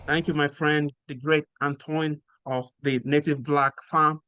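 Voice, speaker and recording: A man from Nigeria with a Nigerian accent, explaining things in a measured way with frequent pauses as a podcast guest. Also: a little high, little pitch movement, bright, forceful tone, slight background noise. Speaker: guest researcher. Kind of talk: podcast interview.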